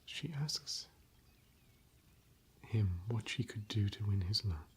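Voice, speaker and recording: A man softly whispering as a gentle scholar of ancient elvish lore, in an ASMR roleplay. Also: fairly low pitch, expressive, slow, slightly rough, exaggerated voice. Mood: hushed. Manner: soft whispering. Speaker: ASMR performer.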